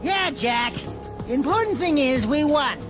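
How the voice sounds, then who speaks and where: A woman voicing an excitable, boastful sidekick with cartoonish swagger in a video game cut-scene. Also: high-pitched, unhurried. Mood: chirpy. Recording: music under the dialogue.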